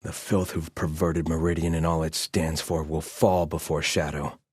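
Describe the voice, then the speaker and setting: An actor playing a proud, bitter soldier of an exiled sun-worshipping tribe in a video game, speaking in formal, theatrical phrases. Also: male voice, a bass voice, level tone, moderate pace, husky, no echo, clean studio sound. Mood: grim, brooding. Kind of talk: scripted game combat barks and guard chatter.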